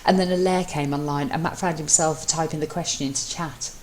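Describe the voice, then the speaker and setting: A woman's voice: low voice, fairly even intonation, brisk. A woman reading fan fiction aloud as dramatic scripted narration, in an amateur recording. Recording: noisy amateur home recording.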